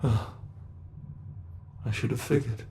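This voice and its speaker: A British man playing a sadistic predatory monster in a dark horror ASMR roleplay, taunting and mocking his prey with drawn-out menace. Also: medium pitch, steady tone, a little hiss or hum. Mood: impatient taunting.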